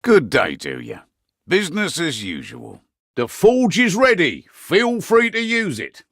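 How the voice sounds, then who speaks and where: Fantasy game quartermaster: middle-aged Englishman, gruff, dryly humorous, hugely varied intonation, close dry studio sound. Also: on the high side, wildly swooping pitch, measured pace, fairly bright tone, dry recording, full character voice. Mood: dry banter, then brisk, instructing. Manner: wry, blunt, colloquial banter. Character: gruff, wry veteran innkeeper and mission quartermaster, male. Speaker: quest-giver in a fantasy game. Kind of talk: fantasy video game character barks.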